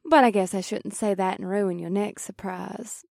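A woman's voice: mid-range voice, big pitch swings, measured pace, gravelly, a little mellow, no echo, clean studio sound, exaggerated voice. A woman playing a flirtatious, taunting supervillain in an audiobook, with a teasing, coy manner. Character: flirtatious, taunting female supervillain with mind control. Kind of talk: dramatized audiobook character acting.

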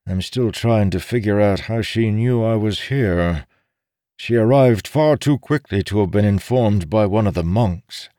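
A man's voice: on the low side, unhurried, warm tone, dry recording, clean studio sound, voice pushed up, lightly acted. A man voicing a weary, earnest soldier in an audiobook story, with a grave and formal manner. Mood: dry, puzzled. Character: weary, earnest soldier, frustrated and doubted as madman.

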